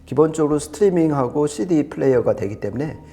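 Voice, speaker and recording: A man's voice: a little high, animated, fast talker, mellow. A man giving an unscripted technical product review in a conversational, explanatory and emphatic way. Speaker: audio equipment reviewer explaining specs.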